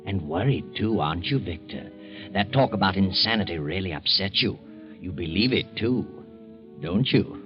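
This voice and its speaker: An actor playing a sinister, mocking storyteller in a 1940s radio mystery, gloating with drawn-out phrases. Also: male voice, sits low, lively intonation. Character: sinister, mocking male storyteller taunting the character. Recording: dull 1940s radio recording. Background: prominent music.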